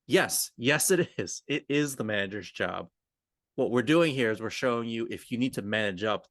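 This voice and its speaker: A man's voice: on the low side, fairly bright tone, dry recording, studio quality. A man co-hosting a coaching discussion, talking informally and thinking aloud as he goes. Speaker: podcast co-host and communication coach. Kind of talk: conversational coaching discussion with roleplay.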